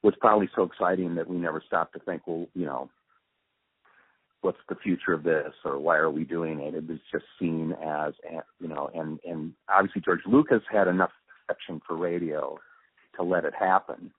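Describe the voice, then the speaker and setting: Older guy, really low voice, thoughtful n halting interview answers, sounds like a tinny phone call. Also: slightly rough, no room sound. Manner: halting, thoughtful, pausing mid-sentence. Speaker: radio drama sound designer and producer being interviewed. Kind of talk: reflective interview talk. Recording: muffled phone-like narrowband line.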